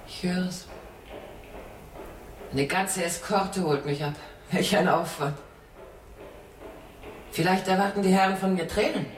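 An actress playing a condemned woman spy in a radio drama, flirtatious and drily ironic, with proud composure. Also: on the low side. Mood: proud, resigned. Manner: theatrical, teasing, drily ironic. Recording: roomy, distant, faintly noisy radio play.

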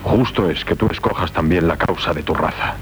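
Actor as honour-bound young knight, deep voice, fervent, solemn, tormented lover, 1970s radio drama, slightly noisy tape. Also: male voice, fairly quick, natural voice. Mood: fervent urging.

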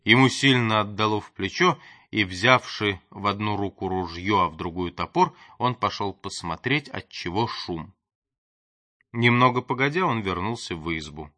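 A man's voice: on the low side, lively intonation, moderate pace, pushed and bright, dry recording. A man reading a classic story as an audiobook narrator, expressively, with dramatic and varied emphasis.

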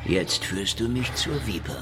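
A world-weary hard-boiled detective with a deep voice and animated, swooping delivery. He is cocky, sarcastic and theatrical, in radio drama style with music clearly behind him. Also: measured pace. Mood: cocky, threatening. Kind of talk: noir radio drama acting and narration.

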